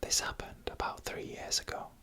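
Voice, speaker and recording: A narrator telling eerie stories in a breathy, unvoiced ASMR whisper, close to the microphone, with slow suspenseful phrasing. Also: sharp tone.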